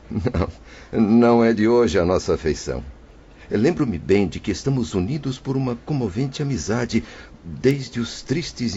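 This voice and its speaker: A man's voice: deep voice, mellow, muffled recording, a little hiss or hum. A man playing a remorseful, humble spirit in an audio drama, speaking formally and with sorrow. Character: remorseful, embittered but humble male spirit under instruction.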